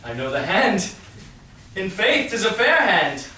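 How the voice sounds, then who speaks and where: A man playing an eager, lovestruck young gentleman in a staged Shakespeare reading. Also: sits high, sing-song, unhurried, exaggerated voice. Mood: eager, fond. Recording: echoey room from far off, background noise.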